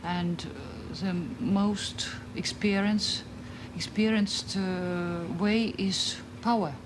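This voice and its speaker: A woman doctor giving a documentary interview, speaking slowly and haltingly in a second language. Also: medium pitch, steady tone. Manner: slow, halting, searching for words in a second language. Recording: noisy, roomy old documentary film sound.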